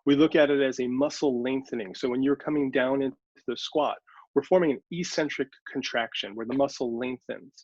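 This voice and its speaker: A man's voice: medium pitch, fairly quick, a little mellow, dry recording. Teacherly man on dry, dull audio. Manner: teacherly, walks through examples step by step. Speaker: sports scientist guest. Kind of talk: explanatory interview answers.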